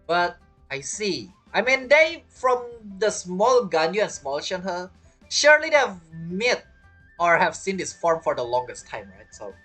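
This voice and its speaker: Streamer woman, casual game reactions; fairly low, very lively, laughs a lot. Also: unhurried, faint music behind. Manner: laughs often, chatty, broken English.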